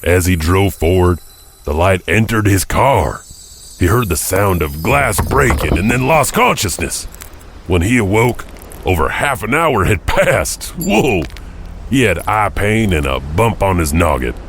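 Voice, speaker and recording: A man's voice: deep voice, animated, a little slow, fairly bright tone, slight background noise. A man narrating a scripted tale as a podcast host, with a folksy, theatrical manner.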